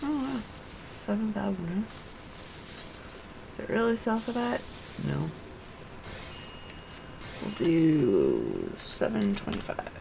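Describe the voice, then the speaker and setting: Female game streamer, slow delivery, gravelly fry, noisy recording. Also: lowish voice, wildly swooping pitch. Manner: thinks aloud in short fragments. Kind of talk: live game stream, reading quest dialogue aloud and muttering casually.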